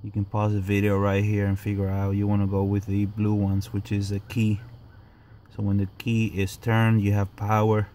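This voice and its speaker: Flat, lowish male DIY instructor. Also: normal speed. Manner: terse step-by-step instructions in short phrases. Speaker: DIY car owner giving a how-to. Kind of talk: informal hands-on tutorial narration. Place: in and beside a parked car, outdoors. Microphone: handheld camera or phone mic. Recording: clear background noise, handheld camera recording.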